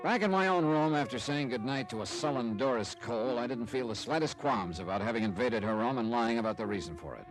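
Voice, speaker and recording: An actor playing a wry, hard-boiled American insurance investigator, narrating an old-time radio detective drama in clipped, dramatic phrases. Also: male voice, medium pitch, expressive, fast, hardly any pauses, music in the background, natural voice, low-quality recording. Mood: brisk, wry.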